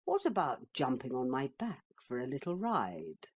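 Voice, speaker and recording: A woman's voice: very deep voice, wildly swooping pitch, slightly rough, pitched below the performer's own voice, full character voice. A woman voicing a sly, boastful male talking horse that mocks and coaxes a boy. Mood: coaxing. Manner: slow, coaxing, mocking.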